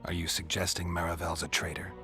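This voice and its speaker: Gruff game monster hunter, extremely low voice, gravelly, deadpan, skeptical, clear music behind. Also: male voice, fast. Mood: dry, wary. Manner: deadpan, sardonic. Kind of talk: video game voice acting.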